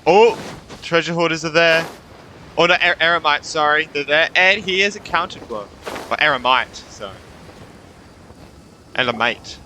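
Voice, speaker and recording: A man's voice: on the high side, moderate pace, pauses often, bright, forceful tone, slight background noise. A man giving casual let's-play commentary, thinking aloud, repeating himself and reading game dialogue out. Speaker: gaming streamer.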